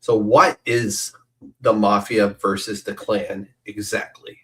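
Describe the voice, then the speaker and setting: A man hosting a podcast, chatting in a rambling, excitable way as a fan. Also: lowish voice, slow. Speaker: podcast host. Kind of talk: casual podcast interview.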